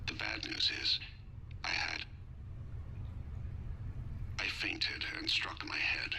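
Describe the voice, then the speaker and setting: A dying reptilian alien assassin in a video game, with a very deep, gravelly voice that stays hushed and breathy. His formal, measured lines sound bright and keen at the edges, and they still rise and fall freely. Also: steady tone, slight background noise, heavily acted. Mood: quiet, wistful. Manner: measured, formal, softly reflective.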